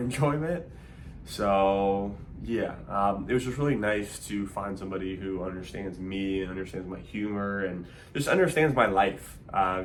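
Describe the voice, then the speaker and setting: A man's voice: fairly low pitch, a bit bright, slight background noise. A man in his thirties chatting casually with his partner, rambling with many fillers and restarts. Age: 30s. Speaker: co-host of couple Q&A video. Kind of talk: casual chat.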